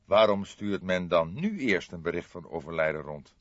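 A man voicing a grey-haired, courteous and shrewd old detective in an audiobook, speaking in a measured, formally polite way. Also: sits low, expressive, dry recording, dull audio. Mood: slow, feigned puzzlement. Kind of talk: audiobook character voice.

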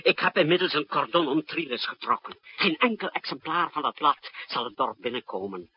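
An actor playing an anxious, deferential secret service chief in a 1960s radio play, with a very raspy voice. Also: male voice, on the high side, lively intonation, a little fast, no echo, heavily acted. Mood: anxious, placating. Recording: muffled 1960s radio recording.

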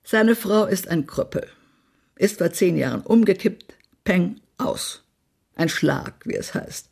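An actress playing a spiteful, haughty small-town society gossip in a German radio play, blunt and imperious. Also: female voice, on the low side, lively intonation, takes it slowly, vocal fry, a little mellow, breathy edge, exaggerated voice. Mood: gossipy relish. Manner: blunt, acid, imperious, swearing.

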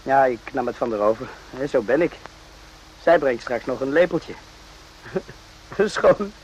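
An actor as obliging servant-like orderly, mid-pitched, hesitant, ingratiating, radio drama, faint background noise. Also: male voice, animated, a little slow, darkish tone, lightly acted. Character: smiling, obliging male orderly serving tea, falsely modest. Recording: noisy old radio play recording.

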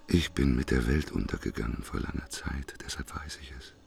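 Very deep, gravelly doomsday prophet. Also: male voice, brisk, a little mellow, exaggerated voice. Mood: weary despair. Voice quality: hoarse, gravelly, rasping. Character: despairing old doomsday prophet, weary and bitter. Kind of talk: German radio play acting.

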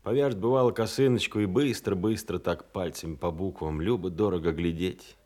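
A man's voice: deep voice, a little fast, a little mellow, lightly acted. An actor playing a pensive man haunted by echoes of war, in a reflective radio drama monologue. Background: music behind the voice.

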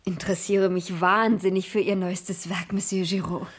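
An actress playing a cultured, plucky private detective, polite but sly, in a radio crime drama. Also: female voice, mid-range voice, flat delivery, husky, close to an everyday voice. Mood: wry, eager. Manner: polite, sly, quick to retort. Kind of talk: 1980s German radio crime drama acting.

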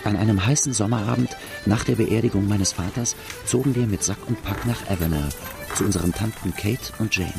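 A man's voice: on the low side, creaky. An actor playing a rueful outlaw who recounts his hard childhood, narrating plainly in a radio drama.

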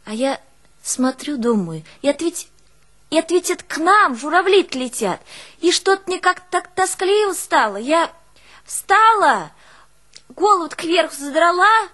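A woman's voice: extremely high pitch, unhurried, exaggerated voice. An actress playing an artless, emotional peasant woman with a northern Russian rural dialect, telling her life in a theatrical folk monologue. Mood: wistful, yearning. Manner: folksy, expressive, exclaiming and musing aloud. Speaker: stage actress in a one-woman play.

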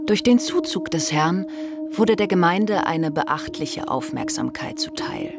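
A woman playing a composed radio play narrator, reading scripted prose in a measured, detached, documentary-like manner. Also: lowish voice, very animated, vocal fry, over loud music, full character voice. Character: composed female narrator chronicling a gentleman's life.

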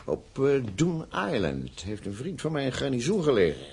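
An actor playing a blunt, disdainful army officer in a Dutch radio play, with a clipped, condescending manner. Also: male voice, medium pitch, huge pitch swings, moderate pace, darkish tone, breathy edge, exaggerated voice. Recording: noisy old radio play recording.

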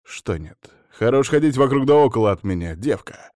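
A man performs a brash young henchman of chaos from a fantasy tale. He is cocky and needling, with a blunt way of talking. There is a creaky edge to the voice, recorded close and dry. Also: lowish voice, very animated, moderate pace, darkish tone, no room sound. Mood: angry. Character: brash young-looking male servant of chaos, rude and cocky.

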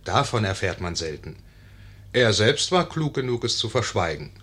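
A wry, urbane storyteller narrates an old radio comedy. The voice is fairly low and moves with animated intonation. He is conversational and confiding, savouring the suspense. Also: moderate pace, husky, full character voice. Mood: wry, musing. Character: wry, urbane male storyteller addressing listeners. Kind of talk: 1950s scripted radio play narration.

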